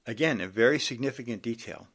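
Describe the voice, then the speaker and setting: A man's voice: medium pitch, fast talker, a bit bright, husky. A man in his sixties preaching an earnest sermon, reading scripture aloud and exhorting his listeners. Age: 60s.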